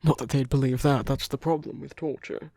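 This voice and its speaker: A performer voicing a seductive, protective villain in an intimate romantic ASMR roleplay, gently coaxing in a halting, husky voice that often drops to a whisper. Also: mid-range voice, steady tone, dry recording, studio quality. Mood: concerned, indignant. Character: seductive villain, protective, tenderly persuading an abandoned hero.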